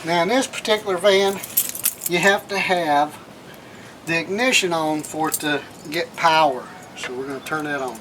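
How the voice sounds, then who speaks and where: A man's voice: a little high, normal speed, noisy background. A man giving a casual, plain-spoken hands-on how-to as a vlog host, often repeating his phrases. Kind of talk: casual how-to demonstration, talking while working hands-on. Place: in and around a minivan.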